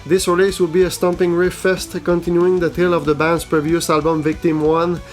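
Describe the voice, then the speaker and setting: A man reads a scripted news roundup of new metal albums in a steady, level manner. His voice sits a bit high for a man and barely moves in pitch. Faint guitar music plays underneath. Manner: even, measured reading. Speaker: host of a metal news show.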